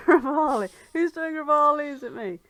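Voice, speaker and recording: A woman's voice: sits high, normal speed, a crowd in the background. A woman in casual chat, emphatic and joking, swearing freely. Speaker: reader in a group reading.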